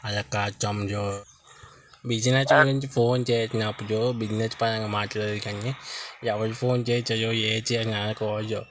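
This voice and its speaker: A man's voice: on the low side, flat delivery, moderate pace, background noise. A man casually making a comedy prank call, persistent and mock-earnest in his pleading. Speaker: prank caller and host.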